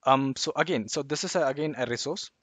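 A man's voice: mid-range voice, fast talker, fairly bright tone, husky, dry recording. A man explaining code on a screen share, stopping and restarting often, with lots of fillers and "okay, yeah" tags. His voice sits in the middle range and sounds close and dry, with no room around it. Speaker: tech tutorial presenter.

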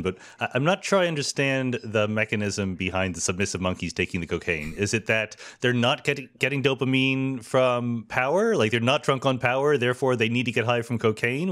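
A man's voice: mid-range voice, a bit bright. A man hosting a podcast interview, asking thoughtful questions with hesitant fillers. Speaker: podcast host.

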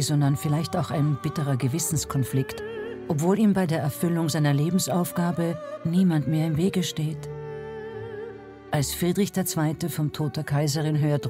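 A man narrating a scripted historical documentary in a measured, dramatic manner. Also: on the high side. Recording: music bed under voice.

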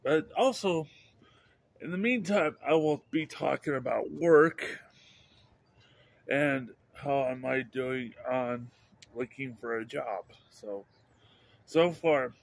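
Middle-aged male vlogger, slow and rambling, many restarts, phone at arm's length outside. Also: medium pitch, vocal fry. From Idaho, USA. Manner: halting, repeats and restarts words, upbeat. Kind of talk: rambling casual vlog. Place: outdoors by a house under overcast sky. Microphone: handheld phone camera at arm's length.

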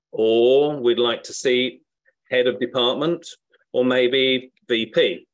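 A middle-aged man with a Norfolk accent from England gives a screen-share webinar tutorial. He talks in a relaxed, encouraging way and thinks aloud as he clicks through the steps. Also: medium pitch. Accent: Norfolk English. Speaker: webinar presenter.

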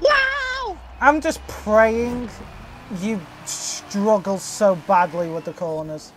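A woman gaming with a friend over voice chat, excitable, often exclaiming and laughing. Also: mid-range voice, slow pace, a little hiss or hum, poor audio. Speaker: gamer. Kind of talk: casual gaming banter.

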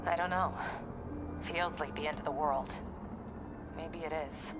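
A woman's voice: deep voice, fairly even intonation, unhurried. An actress playing a caring, worried woman who is a superhero's ally in a cinematic video game. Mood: worried, subdued. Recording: loud cinematic music behind.

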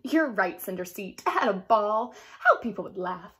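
A woman's voice: medium pitch, expressive, unhurried, bright, forceful tone. A woman voicing a haughty, vain young stepsister in a storybook, with a pompous, teasing manner. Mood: mocking.

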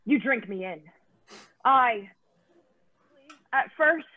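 A woman playing an intense, showboating actress in a stage parody, melodramatic and deliberate, heard through thin, phone-like sound. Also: high-pitched, dry recording, exaggerated voice. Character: intense, showboating actress grabbing all the focus.

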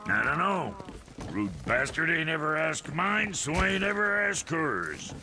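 A man voicing a gruff, boastful, foul-mouthed dwarf blacksmith in a video game, gravelly, crude and folksy. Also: on the high side, big pitch swings, unhurried, background noise. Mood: mocking.

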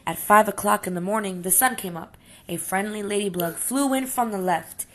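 A woman with a mid-pitched voice reads a picture book aloud. She narrates as a storyteller for young children, following the written text. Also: moderate pace, fairly bright tone, a little hiss or hum.

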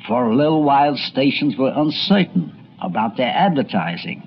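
A man's voice: mid-range voice, sing-song, moderate pace, slight background noise. A man narrating a scripted history documentary like a storyteller, with conversational asides. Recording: dull, lo-fi old recording.

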